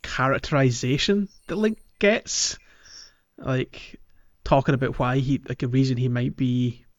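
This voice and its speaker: A man's voice: mid-range voice, expressive, unhurried, dry recording, lo-fi sound. A man hosting a casual gaming podcast, speaking conversationally and putting questions to his co-hosts. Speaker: podcast host.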